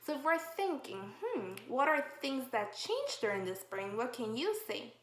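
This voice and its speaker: A woman teacher reading a picture book aloud to young children, slow and encouraging, with drawn-out questions to the class. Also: high voice, sing-song. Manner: slow, encouraging, exaggerated sing-song questioning. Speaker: kindergarten teacher. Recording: roomy echo.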